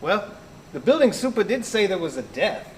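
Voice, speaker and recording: A man's voice: high voice, sing-song, normal speed, a little hiss or hum. A man acting a skeptical, wisecracking police detective in an amateur live radio play. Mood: dismissive. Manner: dismissive, wry.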